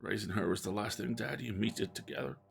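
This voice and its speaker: A man's voice: lowish voice, fairly even intonation, quick pace, creaky, soft background music. A man voicing an aggrieved rural farmer in a game, plainspoken and folksy. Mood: wounded, bitter. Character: aggrieved rural farmer man mourning stolen prize pumpkin. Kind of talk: reading game dialogue aloud.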